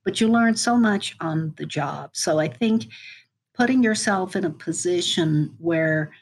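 Irish woman, measured and reflective. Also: lowish voice, expressive, husky. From Ireland. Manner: measured, reflective, with small restarts. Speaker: university IT executive, guest. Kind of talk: conversational interview.

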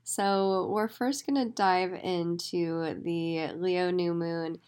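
A woman hosting a solo astrology podcast, her voice raspy and hoarse, speaking in an enthusiastic, effusive way. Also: fairly low pitch, steady tone, slow pace, darkish tone. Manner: enthusiastic, effusive, talks in spiritual terms. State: hoarse after days of yelling. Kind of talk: casual solo podcast monologue.

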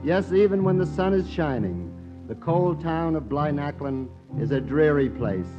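A man's voice: a little high, expressive, unhurried. A man narrating as a 1940s radio drama announcer in a formal, dramatic scripted style. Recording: dull old radio broadcast. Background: music.

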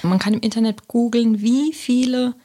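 A woman's voice: fairly high pitch, fairly even intonation, moderate pace, warm tone. A woman with a Palatinate German accent, co-hosting a casual podcast about the Bible and thinking aloud with fillers and restarts. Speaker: podcast co-host.

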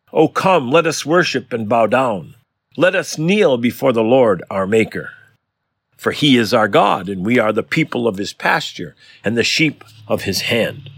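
Low, husky man reading devotions. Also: fairly bright tone, no room sound, clean studio sound. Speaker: pastor and podcast host. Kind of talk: devotional scripture reading and prayer.

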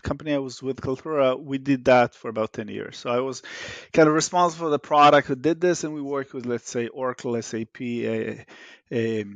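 A man's voice: mid-range voice, fairly even intonation, fairly quick, no room sound, dull audio. A man from Israel with an Israeli accent, a startup founder talking animatedly in an interview, restarting often with fillers. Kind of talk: casual interview talk.

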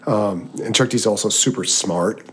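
A man with a low voice interviewing a rapper in casual chat, excitable and quick to restart his sentences. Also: slight background noise.

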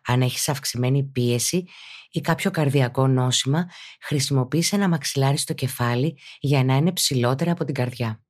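Low-pitched woman guiding a meditation. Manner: calm, instructive, deliberate phrasing. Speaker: yoga and meditation teacher, podcast host. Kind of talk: guided meditation narration.

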